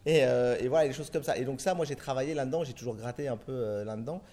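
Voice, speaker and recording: A man's voice: medium pitch, fast, warm tone. A middle-aged man from France, a film director answering interview questions in long, rambling turns full of restarts and fillers.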